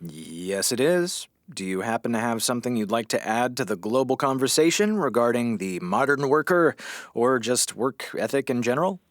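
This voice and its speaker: An actor playing a weary, sarcastic small-town radio host with a halting, dry delivery in a comedy audio drama. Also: male voice, medium pitch, big pitch swings, no echo, close to an everyday voice. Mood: awkward, flustered. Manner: halting, dry, sardonic, awkward.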